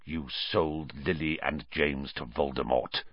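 A bitter, vengeful man, acted by a male narrator, harsh and snarling. His accusations swing widely in pitch with forceful emphasis, in the heated manner of a storybook drama, on a close, dry recording. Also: big pitch swings, unhurried, vocal fry, husky, dry recording, highs cut off, full character voice. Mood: cold contempt.

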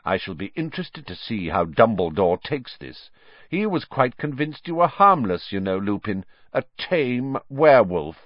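A man's voice: mid-range voice, very animated, normal speed, no room sound, highs cut off. Man playing Snape, a sneering, vindictive wizard schoolmaster. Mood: fanatical triumph. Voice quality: hissing, silky to spitting. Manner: menacing, sarcastic, volatile.

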